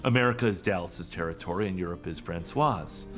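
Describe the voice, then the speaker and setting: An actor playing a neurotic, self-dramatising middle-aged boss in a comedic audio drama, rambling and theatrical. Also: male voice, low voice, brisk, a bit bright, soft background music. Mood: sheepish, rambling.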